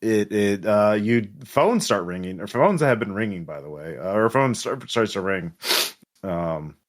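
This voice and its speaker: A man narrating an improvised tabletop game as game master, halting and pausing mid-sentence. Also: fairly low pitch, expressive.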